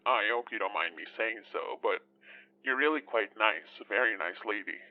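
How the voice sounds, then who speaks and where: A man's voice: lowish voice, normal speed, dry recording, lightly acted. A man playing a genial, chatty older widower calling a radio show in an audio drama, folksy and polite, over a muffled line. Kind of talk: conversational audio drama acting.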